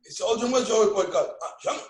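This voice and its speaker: Older Haitian man, strong accent, Creole native, lively anecdotes, roomy echo. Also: high voice, quick pace. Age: 60s. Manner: animated storytelling, broken English phrasing. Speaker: guest, father. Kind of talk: casual family conversation.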